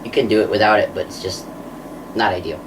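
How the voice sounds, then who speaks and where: Gravelly low-voiced man, halting hands-on demo. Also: background noise. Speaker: presenter of a hands-on science demonstration. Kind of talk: unscripted hands-on demonstration commentary.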